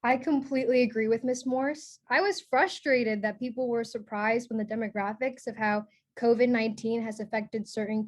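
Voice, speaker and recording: A woman's voice: fairly high pitch, lightly acted. A woman acting in a documentary play as a frustrated, outspoken critic in a group discussion.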